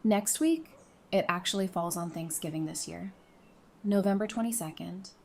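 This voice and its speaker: A woman's voice: lowish voice, expressive, moderate pace, gravelly. A woman playing a friendly, knowledgeable member of a survivor community in an audio drama, speaking in an easygoing, explanatory way. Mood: upbeat.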